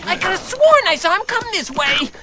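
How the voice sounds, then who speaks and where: Squeaky, excitable small animal. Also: moderate pace, very noisy. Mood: flustered. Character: excitable small animal in an angry search party. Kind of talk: cartoon dub acting.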